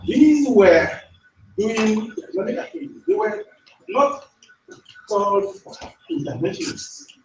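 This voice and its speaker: An African man, not a native English speaker, makes an emphatic, rhetorical point from the floor of a university conference. His pitch rides high and lively. He sounds distant and echoey, picked up from across the hall. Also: measured pace, gravelly, pauses often, slight background noise. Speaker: audience member asking a question. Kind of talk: conference Q&A question. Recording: echoey lecture hall, far from mic.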